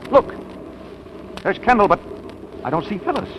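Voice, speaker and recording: An actor playing an exasperated, harried bridegroom desperate for a wife, in 1940s radio comedy style. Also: male voice, high voice, big pitch swings, moderate pace. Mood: anxious. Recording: old radio broadcast with loud engine noise.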